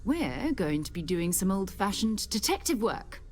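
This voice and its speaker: An actress playing a seasoned, principled space explorer captain in a video game, speaking in a measured, earnest, authoritative way. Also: female voice, medium pitch, very animated, a little mellow. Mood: earnest, intrigued. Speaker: expedition leader. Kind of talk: video game character dialogue.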